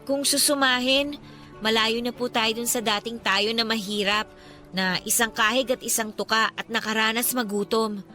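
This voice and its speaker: A woman's voice: on the high side, steady tone, normal speed, bright, forceful tone, faint music behind. A woman acting a cheerful, devout daughter in her twenties in a Filipino radio drama, with a playful, respectful and earnest manner and a Filipino accent. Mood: earnest, upbeat. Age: 20s. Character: cheerful, devout, teasing 28-year-old daughter.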